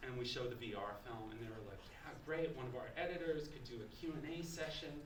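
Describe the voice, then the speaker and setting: A man's voice: mid-range voice, a bit bright, recorded from a distance, slight background noise. A man giving a conversational festival lecture, loose and rambling with frequent restarts. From United States. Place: lecture hall. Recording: echoey room, voice from off-mic.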